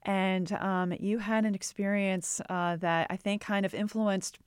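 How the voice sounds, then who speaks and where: A woman's voice: mid-range voice, little pitch movement, a little slow, clean tone, no echo, clean studio sound. A woman hosting a podcast, giving upbeat, friendly introductions and asking questions. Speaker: podcast host.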